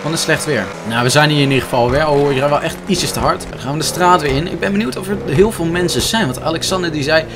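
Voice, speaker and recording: A man's voice: a little high, quick pace, hardly any pauses, noisy background. A young man giving casual, chatty gameplay commentary, thinking aloud in an informal way as he goes. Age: young adult. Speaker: gaming video creator. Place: indoor room with gaming chair.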